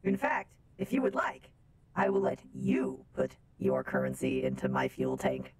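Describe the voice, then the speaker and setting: A woman's voice: a bass voice, huge pitch swings, measured pace, heavily acted. A woman voicing an oddly formal, naive female space robot from Venus in a game roleplay, speaking in stilted, literal phrases. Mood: earnest bargaining. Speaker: streamer playing a roleplay game.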